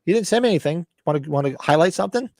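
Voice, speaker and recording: A man hosting a casual podcast chat over beers, hoarse and scratchy-throated, rambling and cursing as he talks. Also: fairly high pitch, expressive, very fast, a little mellow, dry recording, studio quality. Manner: rambling, cursing, self-interrupting. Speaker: podcast host.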